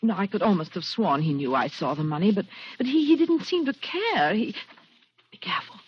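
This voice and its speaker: An actress playing a frightened, determined young woman, stammering with hushed urgency in a 1940s radio thriller. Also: mid-range voice, very animated, fairly quick, husky. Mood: frightened insistence. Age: young adult. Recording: muffled 1940s radio recording.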